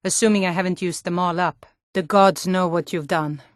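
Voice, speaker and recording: A woman's voice: on the low side, steady tone, moderate pace, dry recording, studio quality, lightly acted. A woman voicing a hardy, forthright Nord townswoman and guard in a fantasy video game, speaking in an earnest, firm, plain-spoken way. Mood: matter-of-fact.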